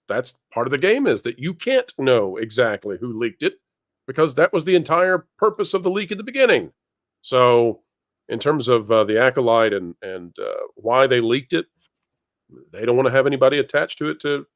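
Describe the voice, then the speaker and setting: A man's voice: mid-range voice, no room sound. Blunt man commentating, dry sound. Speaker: panel guest, commentator. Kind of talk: opinionated conversational commentary.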